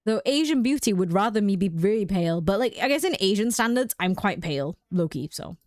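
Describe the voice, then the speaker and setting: A woman's voice: medium pitch, lively intonation, fairly quick, dry recording. Giggly woman, dry close sound. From United Kingdom. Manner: chatty and giggly, many "like" fillers. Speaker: game streamer. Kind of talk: casual chat with stream viewers. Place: home streaming room.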